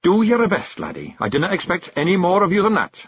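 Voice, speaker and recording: Man voicing a gruff scottish pictsie chief, small and fierce, commanding, husky, dry muffled sound. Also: high voice, wildly swooping pitch, brisk, no echo, pitched above the performer's own voice, full character voice. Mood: encouraging.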